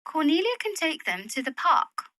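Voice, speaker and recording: A determined young widow acted by a woman in a period drama, genteel and decisive. Her voice is high and bright, with lively swings of pitch. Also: normal speed, no room sound, studio quality, heavily acted. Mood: brisk, decided. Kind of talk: period literary radio drama acting.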